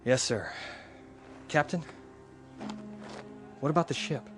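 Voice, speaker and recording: A tired, dutiful space-colony technician in a radio drama. He sounds resigned and deferential, in a medium-pitched voice with faint music behind it. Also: expressive, slow. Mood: resigned, worried. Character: weary, dutiful male colony technician reporting to his captain.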